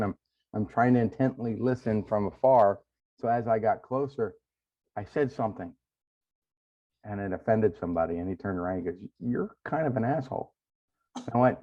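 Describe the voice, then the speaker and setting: A man with a lowish voice hosts an interview over a dull, lo-fi line. He chats in a relaxed, rambling way and restarts his sentences often. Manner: relaxed storyteller, many restarts. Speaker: podcast host. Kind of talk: casual interview chat. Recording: dull lo-fi sound.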